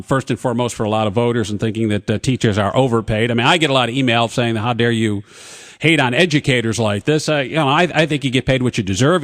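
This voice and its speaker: Male talk radio host, studio mic, pushy and argumentative, runs through lists. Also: medium pitch. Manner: pushy, interrupts guests; reads contract terms off in a rapid list. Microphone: studio broadcast mic.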